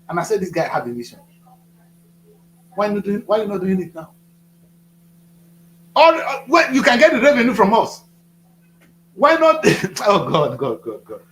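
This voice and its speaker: A man's voice: very high-pitched, fairly bright tone, reverberant, slight background noise, poor audio. A Nigerian man in his fifties, speaking as a studio guest with a preachy, emphatic manner, repeating phrases for effect. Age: 50s. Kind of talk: passionate political talk.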